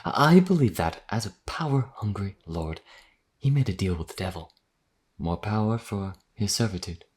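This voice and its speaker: A man's voice: expressive, moderate pace, darkish tone. A man playing a modest, earnest vampire wanderer in a fantasy audio roleplay, explaining things in a hesitant, conversational way. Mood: explanatory. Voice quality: deep, husky.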